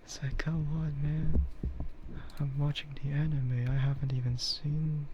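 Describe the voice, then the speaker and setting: A soft-spoken man chatting casually and haltingly in a laid-back ASMR livestream style. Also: on the high side, fairly even intonation. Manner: chuckles often while talking. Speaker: VTuber livestreamer. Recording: muffled audio with clear background noise.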